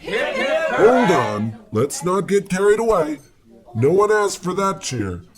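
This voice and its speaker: A pompous government boss played by a man in a comic radio play. He speaks slowly and a little high, with bombastic flourishes. He is bossy one moment and fawning the next. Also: huge pitch swings, over a noisy crowd, full character voice. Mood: grand, festive. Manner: bombastic, grandly theatrical delivery. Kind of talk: comic old-time radio play acting.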